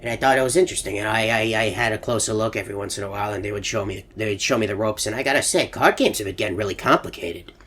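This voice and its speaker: An actor playing a rambling, forgetful older animatronic man in a video game, halting and stammering, with a husky voice. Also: fairly low pitch, a bit bright. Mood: musing, bemused. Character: rambling, forgetful older male animatronic puzzled by modern technology. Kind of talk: video game character monologue.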